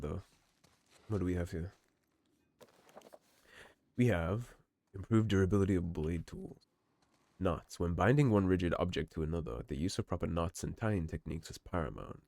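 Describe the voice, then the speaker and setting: A man giving relaxed, musing commentary over a game playthrough, his voice slightly hoarse. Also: low voice, moderate pace, no echo. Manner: relaxed, musing, reads in-game notes aloud. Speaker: game streamer. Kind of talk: let's play commentary.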